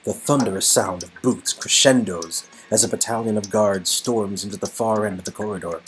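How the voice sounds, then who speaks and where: A man's voice: fairly low pitch, normal speed, rough recording. A man narrating an interactive game story in a dramatic storytelling style, with music behind him. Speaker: narrator and co-performer.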